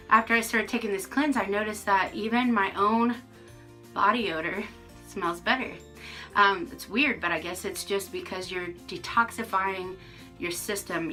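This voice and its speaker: A woman's voice: mid-range voice, bright, forceful tone. A woman in her forties giving a casual, frank vlog update to camera from her rural home, with lots of ums and restarts. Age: 40s. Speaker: vlogger.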